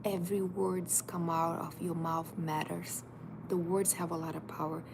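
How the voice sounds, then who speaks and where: A woman's voice: fairly low pitch, little pitch movement, moderate pace, gravelly. Woman, emphatic life coach. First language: Portuguese. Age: 30s. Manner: emphatic, direct, repetitive second-person urging. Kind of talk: motivational livestream talk. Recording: noisy background.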